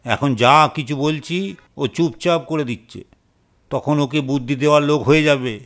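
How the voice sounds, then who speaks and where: An actor playing a stern, callous middle-aged householder in a cartoon moral story, curt and dismissive. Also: male voice, fairly high pitch, moderate pace, a bit bright. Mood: argumentative. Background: music.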